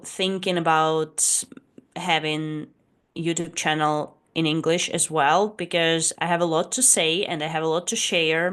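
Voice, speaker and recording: A Russian woman in her late twenties who speaks English with a Russian accent and whose native language is Russian, introducing her vlog as an English teacher in a slightly shy, hesitant way with frequent pauses. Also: low-pitched, smooth. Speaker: English teacher and channel host. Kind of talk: casual talking-head vlog introduction.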